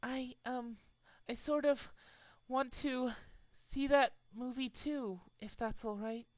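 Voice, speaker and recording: A man voicing a shy, timid female pony who speaks softly and haltingly. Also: very high voice, fairly even intonation, no echo, pitched above the performer's own voice. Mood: bashful. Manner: soft, halting, faltering.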